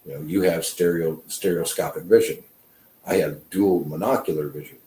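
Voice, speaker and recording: A man's voice: deep voice, fairly even intonation, normal speed, mellow, echoey room, low-quality recording. A man in his fifties, a veteran guest talking casually in a podcast with a rambling, self-deprecating manner and many fillers.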